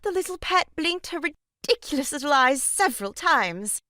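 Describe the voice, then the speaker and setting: Self-important sister-in-law, sharp-tongued, brisk, lively high-ish pitch, dry studio sound, stage farce. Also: female voice, no room sound, exaggerated voice. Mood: gushing, huffy. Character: bossy, gushing married sister-in-law, sharp-tongued matron. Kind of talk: stage farce audio drama acting.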